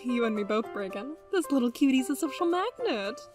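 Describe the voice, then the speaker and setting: A high, very expressive mother's voice, acted by a woman, with music behind it. This cartoon shapeshifter is doting, with a sardonic streak. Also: fairly quick. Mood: teasing, warm. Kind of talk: animated cartoon acting.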